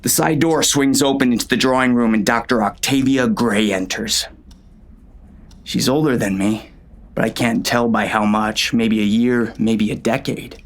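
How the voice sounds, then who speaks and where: Man playing a gravelly, low-voiced brooding western gunslinger. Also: lightly acted. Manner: terse, brooding, folksy western talk. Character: gruff, bitter gunslinger narrating his own story, a man. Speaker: audiobook narrator and lead actor. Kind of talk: first-person dramatic audiobook narration, western.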